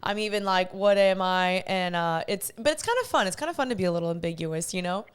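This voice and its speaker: A woman's voice: fairly low pitch, fast, clear, resonant tone. A woman in her twenties hosting a podcast, chatty and bubbly, with lots of "like" in her casual talk. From United States. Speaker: podcast host. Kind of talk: casual podcast chat and intro.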